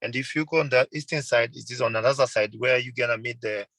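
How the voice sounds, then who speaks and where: A man's voice: medium pitch, fast talker, gravelly, pushed and bright, no room sound. A man in his thirties from Tanzania, a mountain guide, answering interview questions on a video call in earnest, simple phrasing, often repeating and correcting himself. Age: 30s. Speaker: mountain guide and tour company owner.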